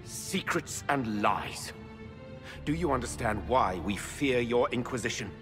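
An actor voicing an indignant, suspicious foreign envoy in a video game, speaking in a stern, accusing way. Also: male voice, mid-range voice, lively intonation, unhurried, big-room sound, music in the background, lightly acted.

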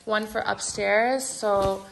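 A woman's voice: mid-range voice, fairly even intonation, slow, gravelly, slight background noise, rough recording. A young woman in her twenties chatting casually to her viewers at home, upbeat and filler-heavy, filmed on a phone in her kitchen. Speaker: vlogger. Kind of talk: casual vlog chat.

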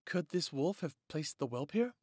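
A man's voice: a little high, big pitch swings, moderate pace, a little mellow, dry recording, studio quality. An actor playing a considerate, easygoing male werewolf in an audio drama, with a conversational delivery. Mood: thoughtful.

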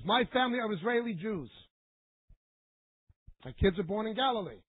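A man's voice: high-pitched, breathy edge. A middle-aged man teaching the Bible in an emphatic, didactic sermon style, repeating phrases for weight. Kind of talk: sermon-like teaching lecture to a live audience. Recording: narrow, phone-like band.

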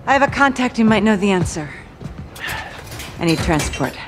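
A woman's voice: mid-range voice, very animated, moderate pace, music in the background, natural voice. A woman playing a resolute, wry intelligence officer in a military game, talking directly. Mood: guarded, wry. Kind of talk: cinematic military game dialogue.

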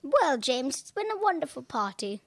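A woman's voice: high-pitched, sing-song, normal speed, dry recording. A young woman playing a genteel, imperious elderly lady hosting dinner in an amateur radio play. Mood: gracious, formal. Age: young adult. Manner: formal, imperious.

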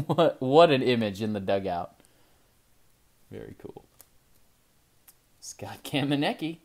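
A man hosting a casual card-opening video at his desk, chatting excitedly, then trailing off quietly as he looks over each card. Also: mid-range voice. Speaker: trading card channel host. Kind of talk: casual pack-opening commentary. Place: indoor desk with card display. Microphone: camera over the desk, hands only in frame.

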